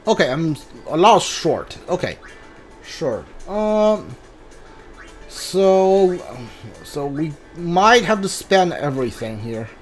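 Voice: mid-range voice, big pitch swings, faint music behind. A video game player giving casual, hesitant commentary on a playthrough, muttering and thinking aloud. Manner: hesitant, halting, muttering to self.

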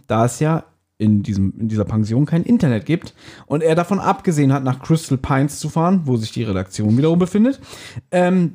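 A man's voice: on the high side, darkish tone. A man, co-hosting a podcast and retelling a story in casual conversation. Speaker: podcast co-host. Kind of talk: casual podcast chat retelling an audio drama.

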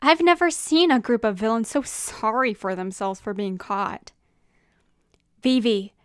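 A woman voicing a scornful, sharp-tongued superheroine in a storybook, scoffing as she talks. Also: on the high side, a little slow, smooth, dry recording, studio quality.

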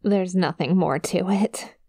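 A woman's voice: on the low side, slow, dark tone, husky, no echo, clean studio sound, full character voice. A woman playing a friendly, awkward young succubus demon in an intimate ASMR roleplay, with a shy, casual, hesitant manner. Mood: teasing. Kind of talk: ASMR roleplay, intimate one-sided conversation to the listener.

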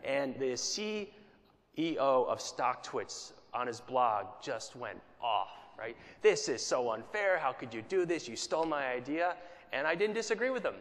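A man's voice: mid-range voice, sing-song, measured pace, fairly bright tone. A man giving a conference keynote, conversational, often checking in with the audience by asking "right?". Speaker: keynote speaker. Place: conference hall. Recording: long hall reverb.

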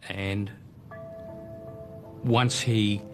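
A man's voice: fairly low pitch, steady tone, very slow, soft background music. A man giving testimony as a documentary interviewee.

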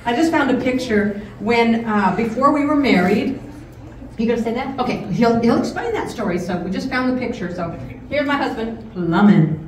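A woman's voice: on the high side, animated, moderate pace. A middle-aged woman speaking at a memorial with a handheld mic, chatty and rambling. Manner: warm, chatty, rambling with fillers. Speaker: family host of memorial. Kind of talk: memorial speech. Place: large event hall, indoors. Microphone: handheld mic through room speakers. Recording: far-off echoey hall, faint noise.

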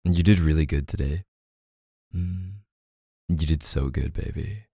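Man voicing a playful doting boyfriend, ASMR roleplay, dark mellow soft voice, teasing murmurs, close dry sound. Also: dry recording, heavily acted. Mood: affectionate, praising. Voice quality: low, gravelly, soft. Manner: teasing, murmuring, hesitant pauses and hums. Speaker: audio roleplay performer.

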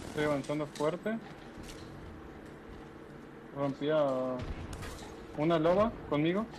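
Guy calling shots fast in a shooter match, kinda high voice, game noise loud underneath. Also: expressive. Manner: terse, urgent tactical calls. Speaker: gamer streamer. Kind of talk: quick team callouts during play.

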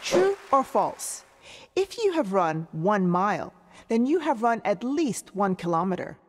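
A woman voicing a cheerful animated quiz show host, presenting in an encouraging, emphatic scripted style. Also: medium pitch, unhurried. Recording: reverberant hall with clear crowd noise.

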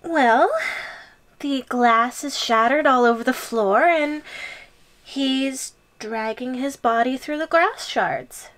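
A woman acting an obsessive, sadistic giantess girlfriend in an ASMR audio roleplay, speaking in a coy, drawn-out way. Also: sits high, a bit bright. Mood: coldly amused. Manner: coy, drawn-out, giggly delivery. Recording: music under the voice.

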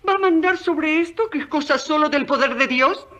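An actress playing a shrewd, cheeky old maidservant in a radio drama, chatty, folksy and emphatic. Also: female voice, sits high, normal speed, fairly bright tone, lo-fi sound. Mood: exasperated, reassuring.

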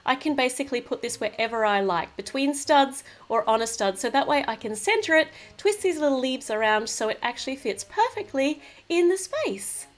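A woman's voice: high-pitched, animated, normal speed, few pauses. A woman hosting a craft channel, chatting cheerfully to viewers as she narrates a toy build.

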